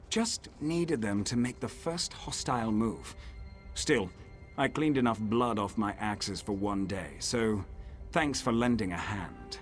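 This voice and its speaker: An actor playing a cocky, sardonic assassin in a fantasy video game, talking in a wry, casual, sly way. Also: male voice, lowish voice. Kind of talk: video game character acting.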